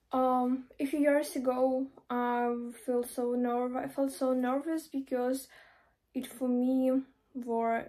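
A young woman with a Ukrainian accent talks to the camera in a small room, her high voice soft and mellow. She speaks slowly and haltingly, with lots of fillers and restarts. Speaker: online mentor. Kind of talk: casual advice vlog. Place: small indoor room by a door.

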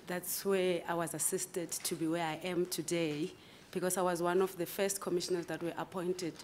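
A South African woman in her forties with a lowish voice, answering a panel in a measured, hesitant way. She speaks into a table microphone in a large formal conference room, with a faint hum of ambient noise behind her. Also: little pitch movement, darkish tone. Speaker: candidate advocate interviewed for judgeship.

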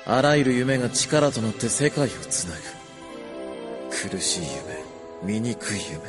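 A man's voice: medium pitch, animated, over music, close to an everyday voice. An actor playing a mysterious, solemn man in a Japanese audio drama, speaking portentously, as if testing the listener in a dream. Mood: solemn, ominous. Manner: slow, portentous.

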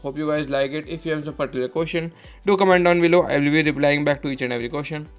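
A young man giving an unscripted product unboxing commentary as a tech vlogger, in a halting way with repeated phrases. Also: a little high, dull audio. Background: background music.

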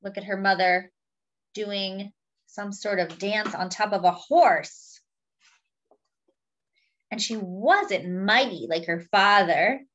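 A woman reading a picture book aloud to young children and commenting on the pictures, expressively and with pauses for emphasis. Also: medium pitch, a bit bright, dull audio. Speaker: children's storybook reader.

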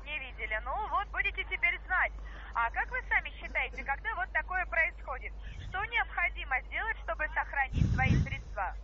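A woman giving an insistent sales pitch over the phone, peppering the listener with questions. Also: lively intonation, moderate pace. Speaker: cold-call investment salesperson. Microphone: phone call. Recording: noisy muffled telephone line.

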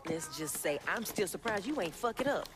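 A woman's voice: lowish voice, lively intonation, a little fast, noisy background, close to an everyday voice. An actress playing a tough, defiant young revolutionary woman with a Southern US accent, blunt and streetwise, in a video game. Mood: wary. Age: young adult. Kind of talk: video game cutscene acting.

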